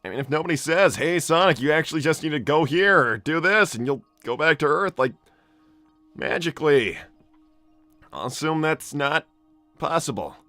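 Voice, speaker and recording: A man's voice: a little high, animated, moderate pace, pushed and bright, no echo, faint music behind. A man voicing an anxious, exasperated young cartoon hedgehog hero in an improvised livestream, stammering and repeating himself as he talks. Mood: dejected, worried. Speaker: live improv role-play host. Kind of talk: improvised in-character livestream, reading viewer messages.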